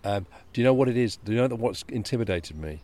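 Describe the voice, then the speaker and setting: A man co-hosting a podcast, reading a novel passage aloud in a measured way, then chatting casually. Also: fairly low pitch, lively intonation, dark tone. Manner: measured storyteller, chatty and quick in talk. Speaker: podcast co-host.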